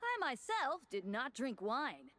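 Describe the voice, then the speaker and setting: Lively, cheeky young deacon, played by a woman. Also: high voice, takes it slowly, heavily acted. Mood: earnest, cheeky. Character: youthful male deacon, cheeky, mischievous, mock-pious. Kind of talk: scripted video game dialogue.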